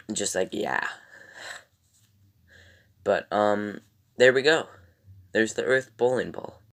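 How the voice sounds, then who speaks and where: A man with a raspy voice casually recapping a TV episode as a reviewer, in a rambling, halting way. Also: fairly low pitch.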